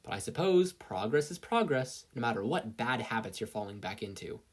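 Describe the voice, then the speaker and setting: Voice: medium pitch, sing-song, normal speed. A narrator with an American accent voicing a sarcastic, grudging bodiless conscience in a story reading. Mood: sardonic. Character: sardonic, disgruntled inner conscience, sneering and bodiless.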